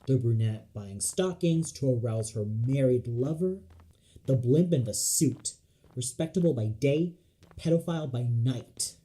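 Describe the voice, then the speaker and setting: A man's voice: mid-range voice, lively intonation, measured pace, warm tone, low-quality recording. A man reading dramatic scripted narration for an audio play. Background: music.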